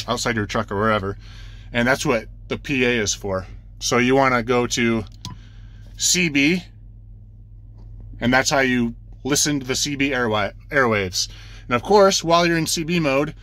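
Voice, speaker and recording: A man with a bright, forward voice at an ordinary middle pitch gives an informal tutorial. He talks like a working trucker, plain and practical, going through instructions step by step. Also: a little hiss or hum. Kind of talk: casual hands-on explainer. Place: inside a truck cab.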